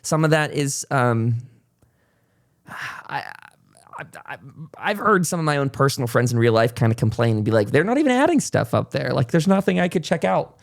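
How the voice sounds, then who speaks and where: A man's voice: mid-range voice, sing-song, moderate pace, gravelly. A man hosting a casual interview podcast, talking at length in a reflective, conversational way. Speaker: podcast host.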